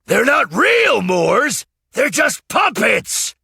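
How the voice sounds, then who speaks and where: A woman's voice: fairly high pitch, big pitch swings, extremely slow, gravelly, pushed and bright, slightly rough, dry recording, clean studio sound, voice pushed up, full character voice. A woman voicing a theatrical male puppeteer in a storybook, effusive and grandiose in his declaiming. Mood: distraught. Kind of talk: theatrical children's storybook read-aloud.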